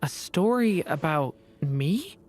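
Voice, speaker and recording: A woman voicing a gentle, wistful young dragonborn boy in a video game, soft and earnest. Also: sits low, animated, slow. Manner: soft, earnest, a little sad. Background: faint game music.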